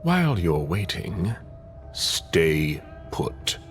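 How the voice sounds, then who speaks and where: Stern vampire enforcer, extremely low voice, gravelly, measured and commanding, video game drama, faint music behind. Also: male voice, sing-song, heavily acted. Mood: stern, protective. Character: stern male vampire enforcer, protective, coldly menacing, loyal.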